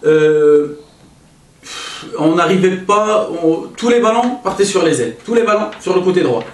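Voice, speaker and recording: Man, football analyst, slightly high voice, halting delivery, informal monologue, very roomy sound. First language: French-speaking, not an Arabic speaker. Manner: hesitant, halting, self-correcting delivery. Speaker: football analyst presenting his own video. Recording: roomy echo, distant microphone.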